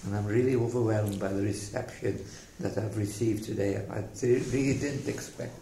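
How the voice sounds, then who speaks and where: Creaky older Welsh man reminiscing, echoey room. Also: fairly low pitch, steady tone, dark tone. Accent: slight Welsh. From South Wales. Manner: halting, reflective storytelling. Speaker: interviewee telling life story. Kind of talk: oral history reminiscence. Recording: echoey room, faint background noise.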